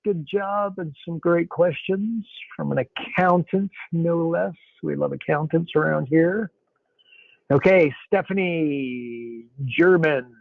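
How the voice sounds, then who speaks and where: A nasal-voiced man hosts a live question-and-answer call, chummy and rambling. His voice sits a bit high and comes through a narrow, phone-like line. The sound is dry, with no room around it. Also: slow pace, creaky, no room sound. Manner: loose, chummy and rambling, full of fillers. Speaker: host.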